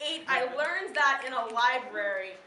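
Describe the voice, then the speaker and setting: A teenage young woman with an American accent delivering slam poetry in an impassioned, emphatic, declamatory way with deliberate pauses. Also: high-pitched, animated, bright, forceful tone. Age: teen. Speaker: slam poet. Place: live event in a room. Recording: roomy, distant mic, faint background noise.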